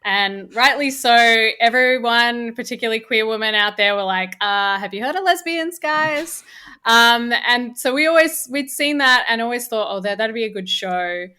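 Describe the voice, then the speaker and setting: A woman's voice: on the high side, normal speed, sharp tone, clear, resonant tone. A woman telling stories casually as an interview guest. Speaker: podcast guest, audio drama co-creator. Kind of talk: casual chat, storytelling.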